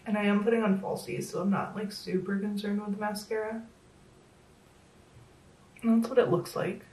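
A woman's voice: medium pitch, level tone, normal speed, mellow. Chatty woman losing her voice, roomy echo. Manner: chatty, self-deprecating, filler-heavy. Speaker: makeup vlogger. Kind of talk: casual makeup tutorial chat. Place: small home room, indoors. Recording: roomy echo, a bit distant from the mic.

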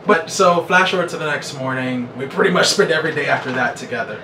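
A man's voice: a little high, fast. An American man in his thirties, casually telling a story to camera as a vlogger. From United States. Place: small bedroom indoors. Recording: roomy echo, distant mic, noisy background.

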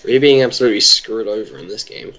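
A young man chatting casually while playing a game online, joking at his own expense and breaking into excited exclamations. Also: on the low side, fast talker, lo-fi sound, noisy background. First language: Welsh. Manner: jokey, self-mocking, bursts of excited exclamations. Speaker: gamer. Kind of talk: casual gameplay chat.

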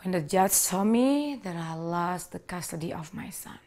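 A woman's voice: on the low side, animated, moderate pace, darkish tone. A woman in her thirties from Indonesia with an Indonesian accent, earnestly telling her personal story with small grammar slips, seated in a living room on a lapel mic. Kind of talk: personal testimony to camera. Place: living room with leather sofa, indoors.

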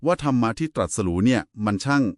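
A man's voice: medium pitch, animated, fast talker, no room sound, studio quality. A man giving a polite, didactic explanation in a conversational discussion of Buddhist scripture. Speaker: explainer in a two-person discussion.

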